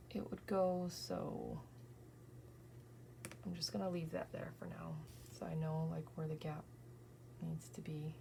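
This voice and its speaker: A woman in her thirties talks quietly through her scrapbooking, her low voice worn and heavily gravelly from an early morning. She muses to herself and slips into whispers now and then. A steady hiss sits under the recording. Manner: soft-spoken, thinking aloud, often drifting into whispers. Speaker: scrapbooking crafter. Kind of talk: casual crafting vlog narration.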